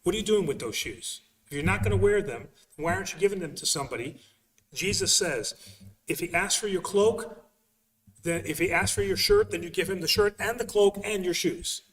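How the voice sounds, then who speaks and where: A man speaking as a priest in a conversational religious question-and-answer session, emphatic and halting, often repeating phrases as he explains. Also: high voice, fairly bright tone. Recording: echoey hall sound, distant mic.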